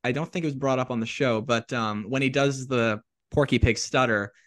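A man's voice: medium pitch, fairly quick, fairly bright tone. A man hosting a podcast, asking long, winding questions in an eager, casual way. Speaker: podcast host. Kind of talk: casual interview chat.

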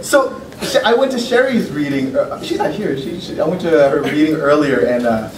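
A man's voice: fairly high pitch, lively intonation, moderate pace. A middle-aged man, a poet, reading his poems at a lectern in a lecture room, with loose, joking, profane asides between them. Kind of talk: poetry reading with casual asides. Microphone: podium mic from a far camera. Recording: roomy, distant, noisy room sound.

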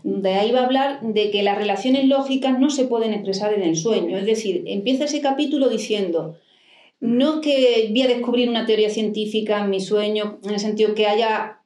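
A woman's voice: medium pitch, normal speed, darkish tone. A middle-aged woman psychoanalyst giving a lecture into a handheld mic, explaining and answering with rhetorical questions. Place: library hall with a desk and plants. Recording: echoey hall.

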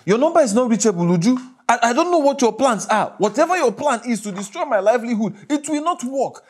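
A man's voice: sits high, expressive, normal speed, darkish tone, breathy edge. A male actor with a Nigerian accent playing a harried, exasperated father in a screen drama, repeating himself as he pleads and scolds. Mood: angry. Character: harried, exasperated father struggling alone with his children. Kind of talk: naturalistic screen drama acting.

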